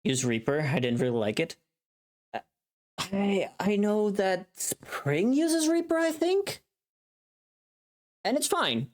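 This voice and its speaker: A woman livestreamer chatting casually as she works, in a halting way, murmuring to herself between remarks. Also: mid-range voice, big pitch swings, darkish tone. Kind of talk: casual chat while working on a livestream.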